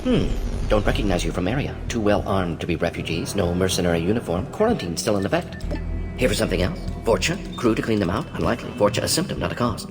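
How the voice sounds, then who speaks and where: A man voicing a brisk, hyperactive alien scientist who talks in clipped, telegraphic phrases, in a video game. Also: fairly low pitch, lively intonation, long reverb tail, over music, close to an everyday voice. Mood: brisk, suspicious. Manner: clipped telegraphic phrases, rapid-fire reasoning. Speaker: clinic doctor. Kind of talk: cinematic game dialogue.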